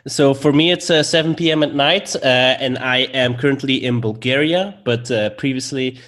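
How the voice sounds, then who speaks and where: A man's voice: medium pitch, normal speed, a bit bright. A young man from Belgium with a Belgian accent, a podcast guest telling his story in a friendly way, laughing often. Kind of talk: casual interview storytelling.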